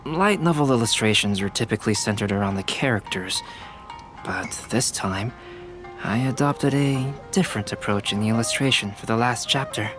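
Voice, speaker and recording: A man voicing a calm, analytical young male alchemist and illustrator in a video game, soft-spoken and logical. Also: on the low side, normal speed, music in the background, natural voice.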